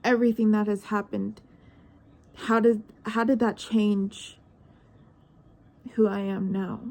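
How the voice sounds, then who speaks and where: Nineteen-year-old woman, vlogger; hesitant, reflective monologue, dark mellow tone. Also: medium pitch, level tone, slow. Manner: searching, hesitant, trails off into questions.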